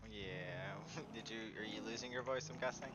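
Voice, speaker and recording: A man's voice: on the low side, normal speed, noisy background. A man chatting casually and agreeably as a trainee officer in a police roleplay game. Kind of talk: casual in-character roleplay chat.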